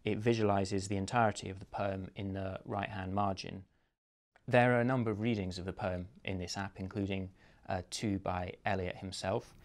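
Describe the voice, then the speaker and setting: A man with a very deep voice explains a project in measured, matter-of-fact terms. His intonation stays flat and even. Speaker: app publisher. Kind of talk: interview explanation.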